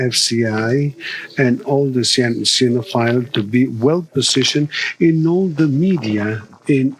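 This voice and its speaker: Slow, halting older man lecturing. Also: mid-range voice, fairly bright tone, rough recording. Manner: measured, halting, with restarts. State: losing his voice. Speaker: conference speaker. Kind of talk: formal conference lecture.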